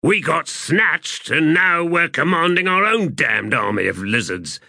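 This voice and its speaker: A man's voice: a little high, lively intonation, a little slow, vocal fry, bright, forceful tone, no echo, voice pushed up, heavily acted. A male narrator voicing a crude, sardonic marine sergeant who talks in coarse soldier slang.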